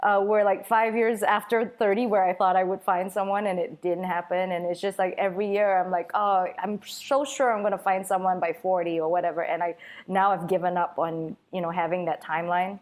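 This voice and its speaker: A woman in her thirties from Singapore, a podcast guest chatting in a rambling, halting way and laughing often. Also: lowish voice, fairly even intonation. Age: 30s. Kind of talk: casual chat.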